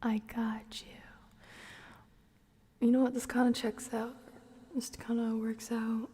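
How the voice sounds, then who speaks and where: A woman hosting an ASMR livestream, chatting casually and playfully to viewers in a soft, breathy whisper and murmur. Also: fairly high pitch, flat delivery, takes it slowly.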